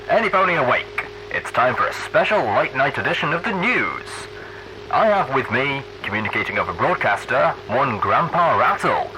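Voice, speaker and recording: A man's voice: fairly low pitch, background noise, natural voice. A man voicing an upbeat, theatrical pony radio news host who speaks in a showy, folksy, heartfelt broadcast style. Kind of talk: radio broadcast monologue, earnest and dramatic.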